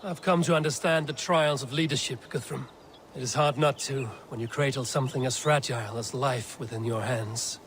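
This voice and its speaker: An actor playing a measured, strategic Viking war leader in a video game, solemn and deliberate in archaic phrasing. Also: male voice, mid-range voice, fairly even intonation, a bit bright, a little hiss or hum, close to an everyday voice. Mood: grave, counselling. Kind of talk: dramatic game cutscene acting. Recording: music behind the voice.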